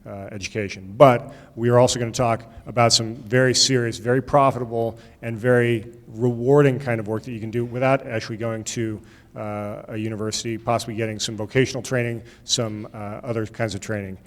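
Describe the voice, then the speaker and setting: A man's voice: mid-range voice, fairly even intonation, fairly quick. A middle-aged man hosting a school career panel, loose and emphatic, often checking in with "all right?". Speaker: host, moderator. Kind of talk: event moderation. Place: high school auditorium. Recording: reverberant hall.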